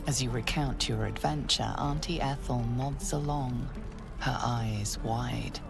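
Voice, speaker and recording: A slow, gravelly game narrator, unhurried and even. His voice is low-set and rough at the edges, over soft game music. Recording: game audio with music behind.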